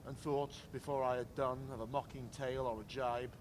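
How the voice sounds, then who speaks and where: A man reciting poetry in a measured, declamatory way at an outdoor public reading. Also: mid-range voice, steady tone. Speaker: poetry reader at a commemoration. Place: outdoors in a city square. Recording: outdoor public recording with clear traffic noise.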